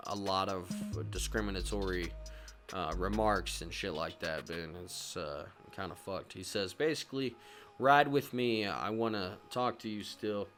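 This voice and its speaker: Chill guy recapping a game, kinda deep voice, cusses a lot, music playing behind him. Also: a bit bright. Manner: laid-back, slangy, swears freely. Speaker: gaming video host. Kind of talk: casual game story recap commentary.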